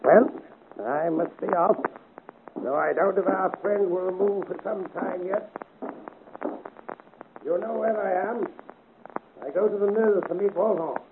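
A man's voice: high voice, measured pace, vocal fry, pauses often. A man acting a cool, scornful, murderous innkeeper in a theatrical 1950s radio serial style. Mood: scornful, menacing. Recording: noisy narrow-band 1950s radio recording.

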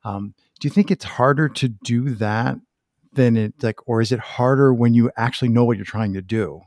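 A man's voice: medium pitch, normal speed, darkish tone, dry recording, studio quality. A man hosting a casual, unscripted interview, circling around his questions and rephrasing them as he goes. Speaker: podcast interviewer, host.

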